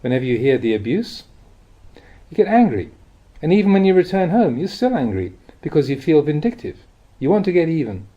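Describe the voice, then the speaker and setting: A man reads a spiritual teaching aloud in a measured, expressive, explanatory way, like an audiobook. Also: a little high, dull audio. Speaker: reader of Buddhist teachings. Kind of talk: audiobook reading of a spiritual talk.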